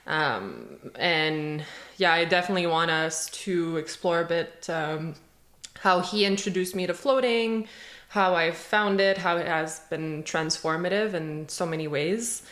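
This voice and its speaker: A woman's voice: fairly low pitch, a little slow, a bit bright. A woman whose first language is French, a shy and giggly guest on a podcast. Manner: shy and giggly, laughs between phrases. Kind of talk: casual podcast conversation. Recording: echoey room.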